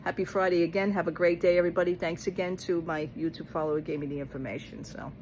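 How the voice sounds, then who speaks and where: Blunt woman with a lowish voice, venting casually. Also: steady tone, quick pace, darkish tone, slight background noise, rough recording. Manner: blunt, exasperated, colloquial, re-enacting both sides of conversations. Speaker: vlogger talking to followers. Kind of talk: casual rambling rant and storytelling.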